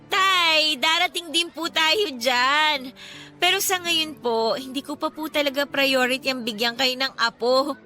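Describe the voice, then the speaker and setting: A woman's voice: high-pitched, slow, sharp tone, soft background music, exaggerated voice. A woman acting a cheerful, devout daughter in her twenties in a Filipino radio drama, with a playful, respectful and earnest manner and a Filipino accent. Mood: playful, teasing. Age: 20s. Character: cheerful, devout, teasing 28-year-old daughter.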